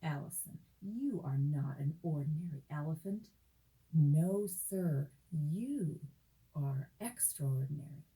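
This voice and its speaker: Woman as a very mellow, loving mother elephant. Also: low-pitched, expressive, slow pace, full character voice, poor audio. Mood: tender, reassuring. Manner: tender, reassuring. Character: gentle, loving mother elephant, encouraging and reassuring. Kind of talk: children's storybook recording.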